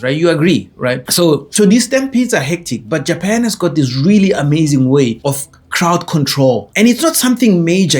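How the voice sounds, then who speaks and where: A man's voice: medium pitch, breathy edge. A young man with a South African accent, talking casually to camera in a rambling, self-correcting way. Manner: digressive, self-correcting, chatty. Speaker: vlogger.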